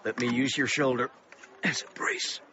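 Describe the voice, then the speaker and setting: A man playing a resolute older father in a radio drama, speaking in terse, deliberate phrases. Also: medium pitch, steady tone, close to an everyday voice. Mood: resolute, defiant. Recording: very noisy lo-fi radio play.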